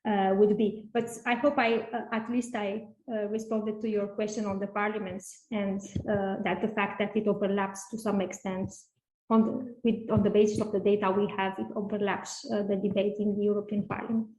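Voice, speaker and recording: A woman academic giving an unscripted seminar answer, halting and repeating phrases as she reasons. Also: medium pitch, flat delivery, a little mellow. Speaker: academic speaker. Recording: echoey room.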